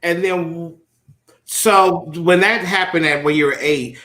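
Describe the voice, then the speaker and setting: Animated high-voiced man in his thirties. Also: measured pace. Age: 30s. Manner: animated, laughs often, lots of fillers. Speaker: host. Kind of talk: casual interview.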